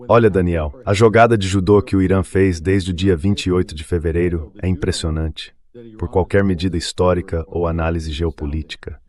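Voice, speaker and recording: Brazilian male voice-over, very low register, interview guest delivering analytical commentary. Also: darkish tone.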